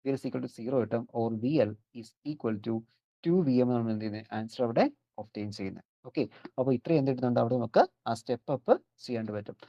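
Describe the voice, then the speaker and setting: A Kerala lecturer with a Malayali accent and a medium-pitched voice works through a technical lesson at a rapid pace. The delivery is built on questions that get answered straight away, sprinkled with English terms. The sound is dull and lo-fi. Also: warm tone. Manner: keeps posing questions and answering them. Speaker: electronics lecturer. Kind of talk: explanatory lecture worked step by step. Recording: dull, lo-fi audio.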